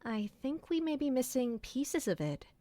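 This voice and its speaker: A woman's voice: sits high, sing-song, normal speed, warm tone, no echo. An actress playing a deferential, reassuring attendant to a noblewoman in an audio drama, courteous and helpful in manner. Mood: reassuring, thoughtful.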